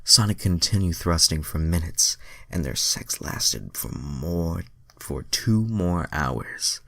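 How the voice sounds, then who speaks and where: A man's voice: sits low, lively intonation, takes it slowly, gravelly, pushed and bright, no room sound, studio quality. A man narrating a fan-written story in an amateur, stumbling way, with casual self-deprecating asides. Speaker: reader of fan fiction.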